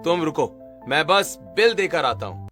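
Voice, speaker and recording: A man's voice: fairly high pitch, sing-song, measured pace, bright, forceful tone, faint music behind, full character voice. A man playing a young husband in a cartoon story, a secret billionaire posing as a poor labourer. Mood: reassuring. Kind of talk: Hindi cartoon story acting.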